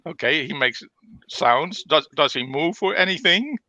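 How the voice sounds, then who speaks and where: Bright-voiced, unhurried man playing a tabletop game. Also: on the high side, animated, no room sound. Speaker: player in a tabletop roleplaying game. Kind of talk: casual chat during game play.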